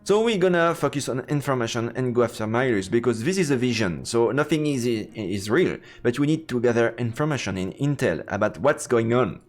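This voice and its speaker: A man's voice: medium pitch, animated, a little fast, few pauses, soft background music. A man streaming a visual novel, reading its narration aloud and adding commentary. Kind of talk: let's play commentary and read-aloud narration. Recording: echoey room with long reverb.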